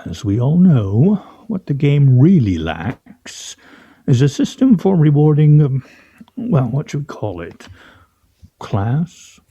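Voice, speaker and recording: A man's voice: medium pitch, big pitch swings, takes it slowly, dark tone, slightly rough, muffled recording, full character voice. An actor in a radio play playing a witty, pompous man nearing fifty, with ornate phrasing and wry, theatrical delivery. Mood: pompous, insistent.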